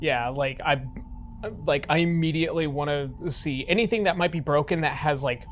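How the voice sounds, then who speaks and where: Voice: mid-range voice, normal speed, slight background noise. A tabletop role-playing player chatting casually in a blunt, sweary way. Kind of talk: casual game chat.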